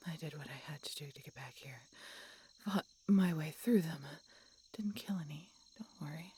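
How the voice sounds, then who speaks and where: Casting: actress for ancient dying dragoness, low whispery voice, tender, halting and pained, audio roleplay, slightly noisy recording. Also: female voice, dark tone, natural voice. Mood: pained, grave. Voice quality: breathy, whispery. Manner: halting, weakened, pained delivery with dramatic pauses. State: weak and in pain. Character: mortally wounded centuries-old female dragon, tender and resigned. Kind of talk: dramatic audio roleplay addressed to listener.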